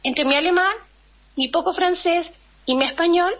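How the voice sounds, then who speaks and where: A woman in her fifties from Chile, a guest telling her life story in a relaxed conversation, acting out scenes from her childhood. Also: very high voice, moderate pace, lo-fi sound. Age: 50s. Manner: animated storytelling, acts out her younger self. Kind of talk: casual interview conversation.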